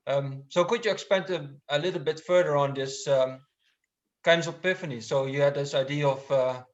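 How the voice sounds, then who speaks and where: A man's voice: a little high, moderate pace. Tentative man moderating, muffled sound. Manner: tentative, many fillers. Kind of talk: moderating a panel discussion.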